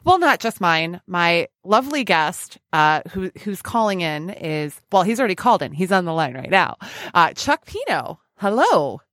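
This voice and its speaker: A woman's voice: fairly low pitch, very animated, normal speed, hardly any pauses, a bit bright, dry recording. A woman hosting a podcast interview in a casual, playful, gushing way with informal banter. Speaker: podcast host.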